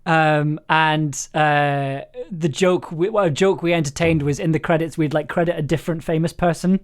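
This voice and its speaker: A man's voice: a little high, steady tone, normal speed. A man hosting a casual live stream about making audio drama, joking at his own expense and laughing readily. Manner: jokey, self-deprecating, prone to laughing. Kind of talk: casual livestream chat.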